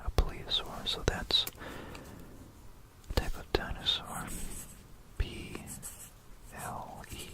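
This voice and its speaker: An ASMR host telling history in a breathy, unvoiced whisper, meandering with playful asides to the listener, close to a binaural microphone. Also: takes it slowly, long pauses.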